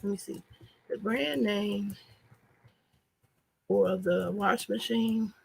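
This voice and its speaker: A hoarse, nasal woman vlogger chatting casually and frankly to her viewers in a loose, rambling way. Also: mid-range voice, takes it slowly, a little mellow, low-quality recording. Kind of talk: casual chat to a live audience.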